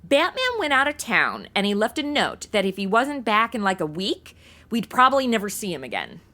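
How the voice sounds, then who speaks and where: Actress as snarky slangy young woman in radio comedy, bright and extremely animated. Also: mid-range voice, normal speed. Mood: sarcastic, exasperated. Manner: sarcastic, flippant. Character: snarky modern young woman stuck in old radio shows. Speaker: star of an audio comedy. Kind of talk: comic audio drama, modern slangy banter.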